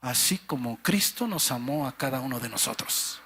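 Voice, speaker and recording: A man preaching a sermon with passion, calling his listeners brother and sister and swinging between fervent cries and hushed low phrases. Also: lively intonation, moderate pace, slightly rough.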